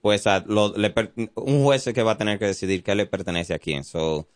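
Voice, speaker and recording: Brief: Dominican police officer on radio, very low voice, calm explainer, dry studio. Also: male voice, moderate pace, no echo. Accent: Dominican Spanish. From Dominican Republic. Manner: explanatory, calm, many fillers. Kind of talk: informative radio interview. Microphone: studio mic.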